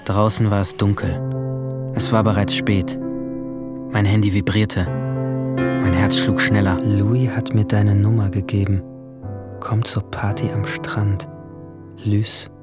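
A man playing a guilt-ridden seventeen-year-old boy, narrating his own story in a measured, introspective way in a radio drama. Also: on the low side, little pitch movement. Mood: brooding, sombre. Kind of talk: scripted first-person radio drama narration. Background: music.

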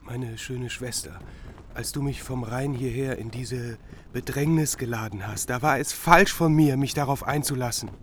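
A man's voice: medium pitch, normal speed, a little hiss or hum, lightly acted. An actor playing a doomed king who laments and regrets in a radio play. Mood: lamenting. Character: doomed king, regretful, addressing his sister.

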